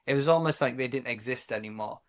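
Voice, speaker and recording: A man from Wales co-hosting a podcast, talking reflectively in a casual chat, often pausing and restarting his sentences. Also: medium pitch. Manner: reflective, halting, many restarts. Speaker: podcast co-host.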